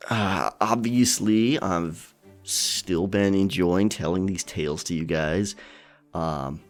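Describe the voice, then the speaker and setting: A man playing a friendly, caring pirate captain in a soft, chatty, encouraging ASMR check-in. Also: on the low side. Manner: encouraging, chatty, hesitant, chuckling often. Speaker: ASMR storyteller and streamer.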